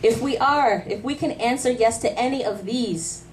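A woman's voice: fairly high pitch, moderate pace, slight background noise. A woman in her thirties, a spoken word artist and lector, giving a faith talk with poetry in a small pub room, speaking earnestly with rhythmic rhetorical questions and light asides. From Canada. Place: small dim pub room, seated before a live audience. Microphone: handheld mic held close. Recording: distant camera mic in a pub.

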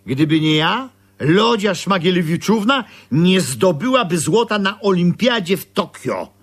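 An actor playing an aggrieved, stubborn old-fashioned tailor in a radio play, with rambling complaints and stammered repeats. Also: male voice, high-pitched, lively intonation, unhurried. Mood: proud, aggrieved. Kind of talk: Polish radio play acting.

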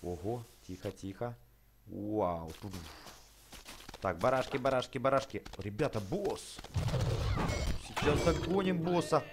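A man hosting a casual, chatty let's play for children, excitable, full of exclamations, repeated words and affectionate diminutives. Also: medium pitch, a little slow, long pauses, noisy background. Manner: uses many affectionate diminutives. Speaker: gaming YouTuber host.

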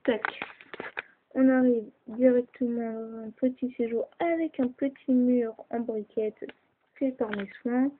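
A woman's voice: on the high side, fairly even intonation, unhurried. A woman chatting casually with lots of fillers as she shows off a toy model at home, filmed on a handheld camera held close. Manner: chatty, hesitant, many fillers. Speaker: toy builder showing a model. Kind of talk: casual show-and-tell narration. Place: indoors at home. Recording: tinny lo-fi camera audio, hissy.

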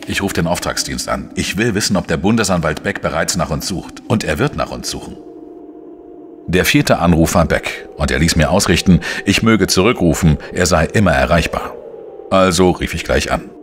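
An actor playing a dry, defiant investigative journalist in a radio play, with a sardonic, laconic manner. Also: male voice, low-pitched, expressive, normal speed, slight background noise. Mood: businesslike. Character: dry, defiant male investigative journalist, narrating his case. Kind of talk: radio play dialogue and first-person narration.

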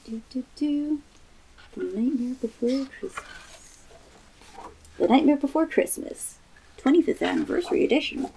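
A woman's voice: high voice, lively intonation, measured pace, vocal fry, pauses often, mellow, a little hiss or hum, poor audio. A woman in her twenties doing a casual children's picture-book read-aloud in a small room. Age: 20s.

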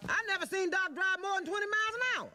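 A woman voicing a chatty female talking car in an animated film. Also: very high voice, quick pace, full character voice. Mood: amazed, chatty.

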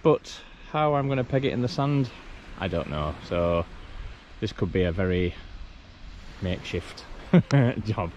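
A man's voice: lowish voice, animated, unhurried, a little mellow. A man chatting casually to camera outdoors, rambling with lots of "you know". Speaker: vlogger. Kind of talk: casual outdoor vlog chat. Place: outdoors, kayak at sea then beach. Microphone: action camera. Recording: dull lo-fi camera audio with clear wind and water noise.

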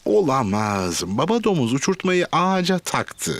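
A man narrating a children's cartoon as a storyteller, in simple, expressive scripted sentences. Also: on the high side, moderate pace.

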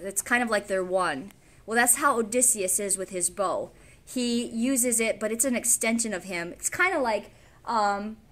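American lady teacher, high bright voice, talking to her class in a schoolroom. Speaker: English teacher. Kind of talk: classroom lesson with reading aloud. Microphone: camera a few feet away.

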